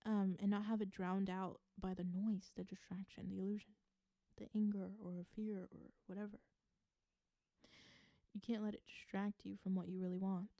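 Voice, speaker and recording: A woman from the American South giving an intuitive tarot reading to viewers. She gives earnest advice, repeats phrases for emphasis and laughs softly. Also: medium pitch, little pitch movement, normal speed, no echo, muffled recording. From Southern United States. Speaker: tarot reader.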